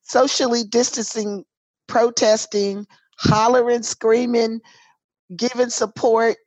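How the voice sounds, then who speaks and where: Hoarse woman, co-host, slow and emphatic, dry close sound. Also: fairly high pitch, flat delivery, a little mellow, no room sound, poor audio. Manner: emphatic, drawn-out, folksy asides like "girl" and "honey". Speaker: podcast co-host. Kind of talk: casual chat between sisters. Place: home in a city in Washington State.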